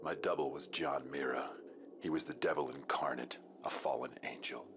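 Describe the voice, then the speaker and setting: Ominous, very deep noir serial hero. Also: male voice, fairly even intonation, moderate pace, background noise. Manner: ominous, melodramatic. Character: tormented, melodramatic male hero of a surreal noir serial. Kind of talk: parody noir TV serial narration within a game. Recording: loud music over the voice.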